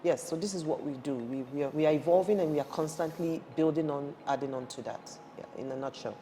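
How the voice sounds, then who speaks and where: A woman in her thirties with a low voice, from Ghana and raised in Nigeria, recalling her path into the energy industry. She talks in a lively, conversational way, restarting her sentences often. Her voice rings with a long reverb over a faint hiss of noise. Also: fast talker. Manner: animated storytelling with frequent restarts and fillers. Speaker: guest, energy industry professional. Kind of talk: conversational interview.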